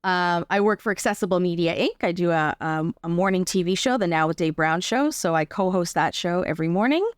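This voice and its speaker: A Canadian woman with a slightly low voice, recorded close and dry. She talks as an interview guest, telling about her work in a chatty, explanatory way and often checking that the listener follows. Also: a little fast, hardly any pauses, no room sound. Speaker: interview guest, artist and arts accessibility advocate. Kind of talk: conversational interview storytelling.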